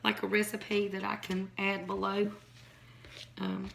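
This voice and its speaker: A hoarse woman, chatting casually as she shows her home cooking in a kitchen. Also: mid-range voice, completely flat delivery, measured pace, a bit bright. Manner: chatty, rambling, folksy. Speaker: home cook. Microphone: phone or camera on counter. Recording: noisy home recording.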